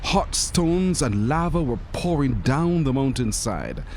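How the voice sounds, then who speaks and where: Expressive man reading a children's story, background noise. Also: medium pitch, slow. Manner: expressive, acts out characters' lines. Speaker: story reader.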